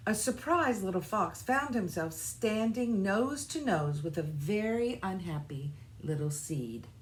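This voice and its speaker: A woman reading a children's storybook aloud with expressive, deliberate phrasing. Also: medium pitch, rough recording. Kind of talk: scripted children's storybook narration.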